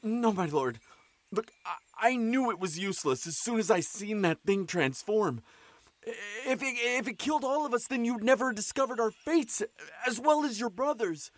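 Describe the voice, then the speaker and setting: A man's voice: sits high, lively intonation, normal speed. A man playing a shaken, cowardly soldier who stammers and pleads deferentially in a fantasy audio drama. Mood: fearful, deferential.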